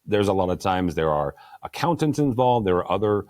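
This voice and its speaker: A deep-voiced man hosts a news roundtable in an easygoing way, dropping joking asides between stories. His voice sits fairly low. The sound is close and dry, with no room around it. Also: very animated, fairly quick, darkish tone, dry recording. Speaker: host. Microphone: good studio microphone.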